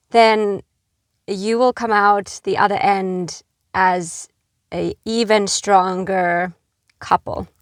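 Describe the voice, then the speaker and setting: A middle-aged woman from Finland giving earnest, gentle advice to camera, with frequent fillers like "you know". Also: medium pitch, creaky, no echo, studio quality. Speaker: expat vlogger.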